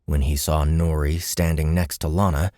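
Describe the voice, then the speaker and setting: A man with a deep voice narrates fiction as an audiobook reader. The delivery is scripted storytelling, recorded very dry and close. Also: little pitch movement, normal speed, mellow, dry recording.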